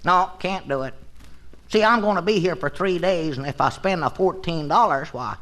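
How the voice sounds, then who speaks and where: An actor playing a cantankerous old country storekeeper with a rural Southern American accent, rambling and homespun in 1940s radio comedy style. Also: male voice, sits high, moderate pace, a bit bright. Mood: stubborn. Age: older. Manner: rambling, homespun, stammering repeats. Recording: noisy old radio recording with clicks.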